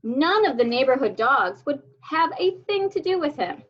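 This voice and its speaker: A woman reading a children's picture book aloud as a storyteller, with expressive, deliberate delivery. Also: sits high. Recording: dull lo-fi sound.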